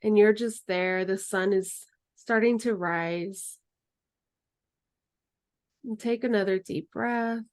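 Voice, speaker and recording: A woman teaching an herbalism class in a relaxed, chatty way, then leading a guided meditation. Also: mid-range voice, takes it slowly, pauses often. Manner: relaxed, chatty, laughs easily.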